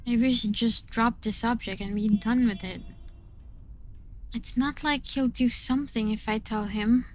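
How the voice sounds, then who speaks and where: A woman's voice: fairly high pitch, fairly even intonation, unhurried, muffled recording. A woman reading first-person visual novel narration in a dramatic storytelling style. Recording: music behind the voice.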